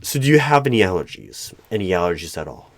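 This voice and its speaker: A man playing an eerie, probing hiring manager in a one-sided ASMR interview roleplay, speaking deliberately with pauses between questions. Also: low voice, big pitch swings. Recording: background noise with keyboard typing and clicks. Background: computer keyboard typing.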